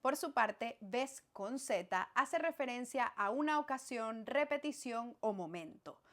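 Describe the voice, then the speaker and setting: A woman from Venezuela with a Venezuelan accent, explaining language points in a clear, didactic scripted lesson that speaks straight to the viewer. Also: a little high, lively intonation, a little slow, a bit bright. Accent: Venezuelan Spanish. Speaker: language teacher.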